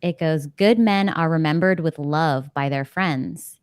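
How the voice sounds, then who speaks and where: A woman hosting a casual book club chat over a video call, talking in an exclamatory, animated way. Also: sits low, unhurried, clean tone, no room sound. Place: indoor room, video call.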